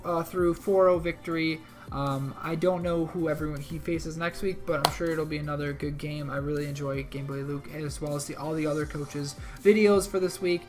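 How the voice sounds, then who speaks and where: Slightly hoarse man recapping a game. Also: fairly high pitch. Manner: rambling, self-correcting analysis. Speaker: co-host of a league recap. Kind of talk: casual game recap commentary.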